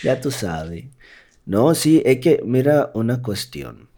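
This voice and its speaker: A man from the Dominican Republic with a softened Dominican Spanish accent, co-hosting a casual podcast with his wife, joking and laughing often. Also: medium pitch, very animated, slow. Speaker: podcast co-host. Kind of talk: casual couple chat.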